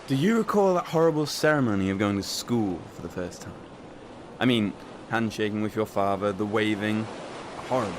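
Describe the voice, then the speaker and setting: Male actor as eager, declamatory reminiscer in a literary audio play; lowish voice, some background noise. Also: animated, brisk, lightly acted. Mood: nostalgic, animated. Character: eager, wordy man reminiscing about boarding school. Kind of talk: literary radio drama acting.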